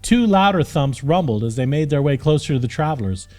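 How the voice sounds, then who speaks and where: A man reading a fantasy audiobook as narrator, in an expressive, scripted storytelling style. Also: on the high side, brisk, soft background music.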